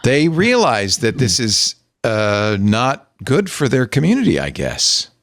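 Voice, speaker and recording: A man hosts a casual tech panel discussion with a lively, swooping voice. He prompts his guests with questions and throws in quick asides. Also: mid-range voice, no room sound, studio quality. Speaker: host.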